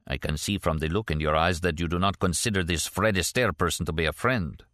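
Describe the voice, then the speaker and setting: A man's voice: deep voice, a little fast, a bit bright, no echo, clean studio sound. A man voicing an older Russian defector father in an audiobook, with a Russian accent and formal, carefully precise wording. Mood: thoughtful.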